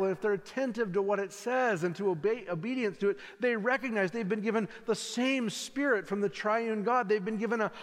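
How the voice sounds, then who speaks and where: A man preaching a sermon as pastor, earnest and swelling louder on key points. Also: high voice. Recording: long reverb in a large hall.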